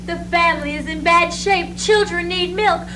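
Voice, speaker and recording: A woman's voice: extremely high pitch, normal speed. A woman playing a theatrical Depression-era woman with a projected, dramatic readers theatre delivery. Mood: worried. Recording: echoey, noisy amateur stage recording with hum.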